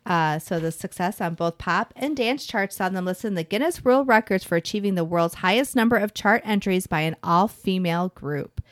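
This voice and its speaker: A woman's voice: mid-range voice, moderate pace, hardly any pauses, dry recording. A middle-aged woman with a Chicago-area accent, co-hosting a music podcast and reading out band facts in a friendly, conversational way. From northern Illinois, US. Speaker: podcast co-host. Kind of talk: casual chat.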